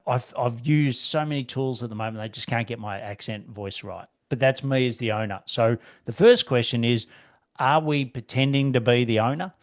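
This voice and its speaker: A man's voice: mid-range voice, moderate pace. A man with an Australian accent hosting a business podcast, chatty and rambling, with lots of fillers and restarts. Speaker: podcast host. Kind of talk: casual interview chat.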